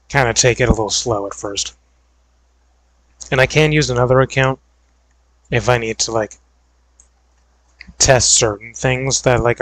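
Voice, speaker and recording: A man's voice: medium pitch, dry recording, rough recording. Young man with a tiring voice. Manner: rambling, filler-heavy and hedging. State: voice going hoarse. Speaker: video creator. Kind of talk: casual gaming vlog chat.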